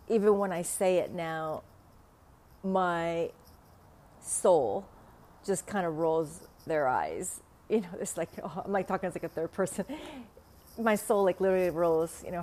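A middle-aged woman with a Vietnamese accent speaks slowly and haltingly as she hosts a spiritual podcast, often pausing on fillers and soft laughs. Her voice sits at an ordinary middle pitch. Faint city traffic drifts in through an open window behind her. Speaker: podcast host, spiritual teacher. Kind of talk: casual spoken podcast monologue.

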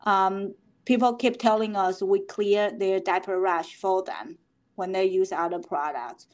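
A middle-aged woman from Taiwan, answering interview questions as a company founder, halting with many fillers and restarts. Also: medium pitch, darkish tone.

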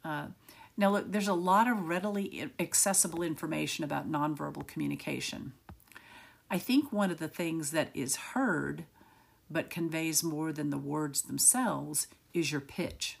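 Low, raspy-voiced woman podcaster. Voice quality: raspy, gravelly voice. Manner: rapid, a little manic delivery. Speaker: podcast host. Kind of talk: solo podcast talk.

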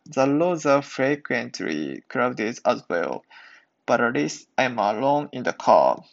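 Slow, creaky-voiced man. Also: medium pitch, very animated. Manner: halting, careful learner's English. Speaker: podcast host practicing English. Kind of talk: reading aloud practice and simple podcast talk. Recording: dull lo-fi sound.

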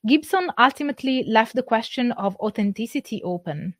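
A woman's voice: on the high side, expressive, dry recording. Woman giving a dry lecture. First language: Italian. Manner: measured, explanatory, careful. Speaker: presenter. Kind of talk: academic lecture.

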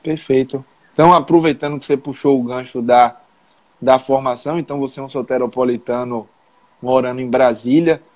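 A man's voice: mid-range voice, steady tone, fairly quick. A man hosting an interview in a friendly, informal way, often checking in with the audience. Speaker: interview host, physician.

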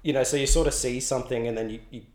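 A man's voice: medium pitch, fairly even intonation, racing, darkish tone. A young Australian man, an artist, chatting in an interview in a loose, rambling way full of "like" and restarts. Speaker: artist guest. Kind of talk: casual interview. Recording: roomy echo.

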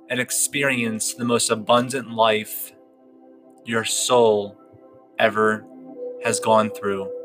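A man leading a guided meditation in a slow, soothing, drawn-out way with long pauses. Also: medium pitch. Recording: clear music bed behind the voice.

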